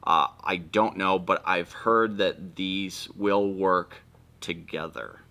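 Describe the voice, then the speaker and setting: A man's voice: deep voice, steady tone, slow pace, sharp tone. A man hosting a casual product walkthrough, explaining things informally and step by step. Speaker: video host.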